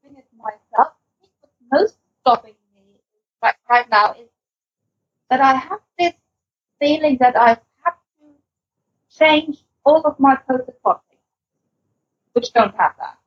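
A woman's voice: sits high, expressive, measured pace, long pauses, breathy edge, no room sound, lo-fi sound. A woman co-hosting a podcast, chatting casually in hesitant, broken phrases. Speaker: podcast co-host. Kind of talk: casual chat.

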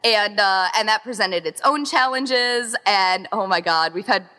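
A woman's voice: medium pitch, unhurried, sharp tone, long reverb tail. A woman chatting casually and informally as a podcast interview guest, peppering her talk with "like". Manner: chatty, informal, heavy use of "like". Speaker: interview guest, event co-founder.

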